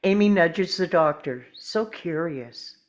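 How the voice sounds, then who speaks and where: A woman's voice: on the low side, animated, takes it slowly. A woman narrating screen directions from a script in the present tense at a table read. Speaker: stage directions reader.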